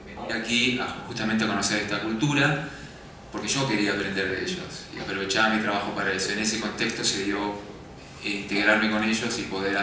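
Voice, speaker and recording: A man, speaking earnestly on a panel after a film screening. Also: lowish voice. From Argentina. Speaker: documentary filmmaker on a panel. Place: festival screening hall. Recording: very echoey hall, distant mic, background noise.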